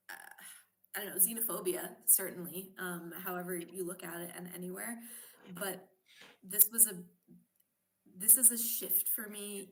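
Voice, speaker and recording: Woman host, lowish voice, slight background noise, thoughtful, hesitant. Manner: thoughtful, hesitant, with many fillers. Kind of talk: casual interview.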